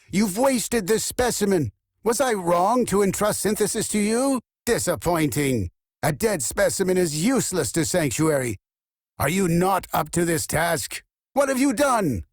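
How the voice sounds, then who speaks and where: A man's voice: a little high, no room sound, studio quality, heavily acted. An actor playing a cold, pompous, exacting machine-like male scientist overseer in a video game, speaking in a formal, clipped, condescending way. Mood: outraged. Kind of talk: scripted video game character dialogue.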